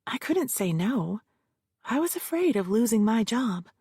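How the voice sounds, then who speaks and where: A woman's voice: fairly high pitch, very animated, measured pace, warm tone, slightly rough, no echo, studio quality, voice pushed up, exaggerated voice. A woman voicing a young female witness with a Southern California accent, answering in short, defensive replies.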